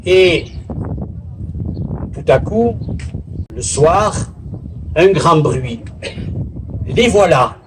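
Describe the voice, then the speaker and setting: A man giving a formal public speech as a local official, in a deliberate, theatrical oratorical manner with pauses and a husky voice. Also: on the high side, lively intonation, noisy background. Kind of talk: formal public speech at a village festival, with literary recitation. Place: village festival in Bouisse, addressing a gathering.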